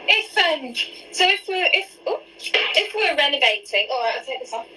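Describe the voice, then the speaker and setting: Woman in her 40s chatting away on a kitchen live stream, bright voice, a bit high, faint hum behind. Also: sing-song, moderate pace, low-quality recording. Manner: chatty, asks lots of questions while cooking. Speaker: host. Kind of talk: live-stream cooking chat. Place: home kitchen. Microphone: phone camera at arm's length.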